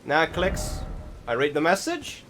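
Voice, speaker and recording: A man's voice: fairly high pitch, big pitch swings, crowd noise, rough recording. Man rambling through casual gameplay commentary. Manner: rambling, halting, self-correcting. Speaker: gamer giving commentary. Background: game music.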